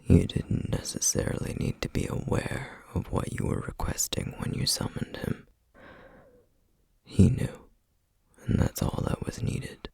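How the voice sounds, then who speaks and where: A man playing a solemn, weary, duty-bound flame demon in an ASMR roleplay, whispering breathily and haltingly. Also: darkish tone, dry recording, close to an everyday voice. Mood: grave, explaining. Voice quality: breathy, husky whisper with gravelly edges. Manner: slow, halting, measured delivery with heavy pauses. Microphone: close microphone, dry sound.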